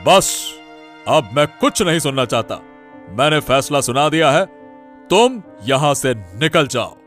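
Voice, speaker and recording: A man's voice: a little high, lively intonation, a little slow, fairly bright tone, soft background music. A man playing a commanding village headman in a cartoon moral story, curt and authoritative. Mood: stern, angry.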